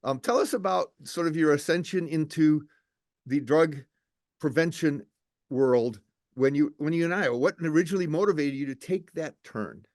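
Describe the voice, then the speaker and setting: A man hosting an interview show, reading a measured, formal introduction and asking questions. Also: a little high, a little mellow, no room sound, clean studio sound. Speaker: podcast host.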